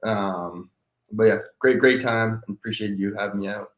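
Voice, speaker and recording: A man chats casually as a guest on a sports show. His voice sits fairly low, and the room around him adds a clear echo. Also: steady tone, normal speed. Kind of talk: casual chat. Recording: echoey room.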